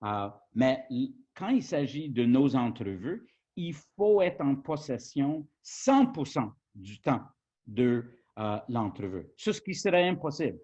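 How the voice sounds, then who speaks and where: Anglophone man from Quebec, journalism trainer, halting delivery, mid-low voice on dull audio. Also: very animated, warm tone, husky. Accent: English-speaking Canadian accent in French. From Quebec, Canada. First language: English. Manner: hesitant, many fillers. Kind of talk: webinar presentation.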